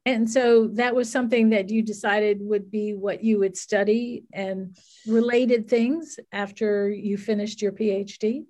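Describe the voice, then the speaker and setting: A woman's voice: medium pitch, fairly even intonation, unhurried, dark tone. A woman hosting a podcast, asking a guest friendly, curious questions. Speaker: podcast host. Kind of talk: podcast interview.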